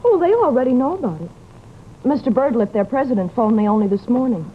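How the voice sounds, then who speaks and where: A woman's voice: a little high, big pitch swings, fast talker. An actress playing a troubled, genteel young lady in old-time radio drama, speaking in a polite, earnest, imploring way. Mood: composed. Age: young adult. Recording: muffled, noisy old radio recording.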